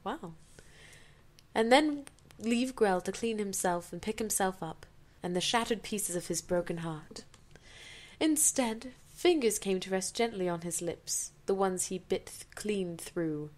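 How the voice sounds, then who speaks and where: A woman's voice: mid-range voice, animated, unhurried. A woman doing an amateur dramatic reading of fanfiction, theatrical, with incredulous, sarcastic asides.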